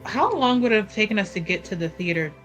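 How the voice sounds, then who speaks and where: A woman's voice: mid-range voice, animated, fast talker, fairly bright tone. A woman in casual table talk as a player in a tabletop roleplaying game. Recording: faint music behind.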